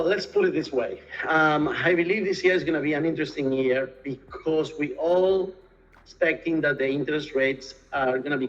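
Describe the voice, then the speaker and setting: A man's voice: fairly high pitch, fairly bright tone, lo-fi sound. A man from Argentina with an Argentine Spanish accent, answering interview questions hesitantly with many fillers and restarts. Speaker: real estate sales and marketing director.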